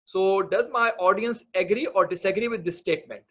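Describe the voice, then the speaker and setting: A fairly high-voiced man from Pakistan, speaking English as a second language, leads an online lecture. He explains earnestly and pauses often on fillers. The audio through his computer mic sounds slightly dull. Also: fairly bright tone, slightly rough. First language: not English. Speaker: medical writing instructor.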